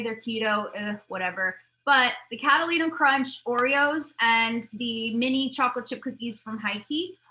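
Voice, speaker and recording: Chatty bright-voiced woman losing her voice. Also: a little high, slight background noise, poor audio. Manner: rambling, talkative, animated asides. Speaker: vlogger. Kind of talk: casual chatty product review.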